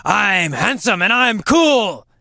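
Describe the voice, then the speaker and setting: Teacher doing a cocky young guy, loud chanting, bright tone, dry sound. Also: mid-range voice, big pitch swings, takes it slowly, gravelly, no echo, voice pushed up, exaggerated voice. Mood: boastful. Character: young man chanting that he is handsome and cool, boastful.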